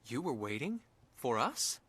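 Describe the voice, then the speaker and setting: A man's voice: medium pitch, sing-song, measured pace, sharp tone, breathy edge, dry recording, heavily acted. An actor playing an earnest young male hero in a fantasy video game, questioning what he hears. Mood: puzzled, concerned.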